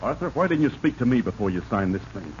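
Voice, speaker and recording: A man's voice: mid-range voice, sing-song, quick pace, a little mellow, lightly acted. A man playing a resentful, scheming office climber turned killer in a 1940s radio drama, hard-edged and bitter. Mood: pushy, indignant. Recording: muffled, noisy 1940s radio recording.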